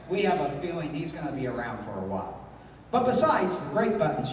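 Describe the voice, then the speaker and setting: A man works as a hammy old-time radio announcer and narrator, with a high voice. He delivers serial narration and sponsor pitches theatrically. The recording is distant and very echoey. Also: darkish tone. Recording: echoey hall from far off, noisy.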